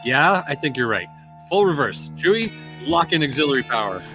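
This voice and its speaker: A man playing a cocky, gruff smuggler space pilot at an amateur table read. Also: a little high, big pitch swings, moderate pace, over music. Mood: alert.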